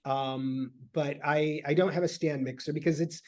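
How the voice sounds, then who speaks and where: Male guest from Michigan, fairly high voice, halting, filler-heavy casual chat. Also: fairly even intonation, a little mellow. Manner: rambling, halting with many fillers.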